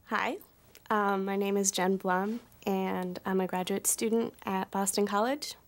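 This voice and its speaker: Female graduate student with a mid-pitched voice and a casual, slightly hesitant delivery. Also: little pitch movement, unhurried. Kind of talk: self-introduction.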